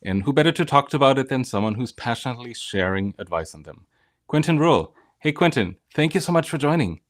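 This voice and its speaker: A man's voice: mid-range voice, lively intonation, fairly quick, dry recording, studio quality. A man hosting a live show, presenting with upbeat energy and welcoming his guest. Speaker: host.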